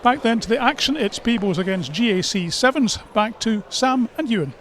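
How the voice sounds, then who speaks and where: A man reporting live from pitchside at a rugby match. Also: expressive, moderate pace, a bit bright. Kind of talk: live pitchside interviewing and handover. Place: outdoors pitchside at a rugby ground. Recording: outdoor pitchside with background noise.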